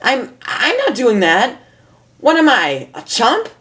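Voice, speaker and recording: A woman voicing a brash but awkward young tomboy pony in a storybook reading, blunt, slangy and halting. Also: a little high, wildly swooping pitch, a bit bright, pitched above the performer's own voice, heavily acted. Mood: defensive.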